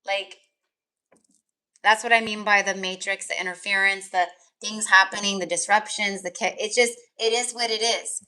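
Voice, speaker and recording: A woman's voice: mid-range voice, steady tone, moderate pace, bright, forceful tone. A woman tarot reader chatting casually on a live stream, rambling with lots of fillers, her voice tired and crackly. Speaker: tarot reader and energy healer.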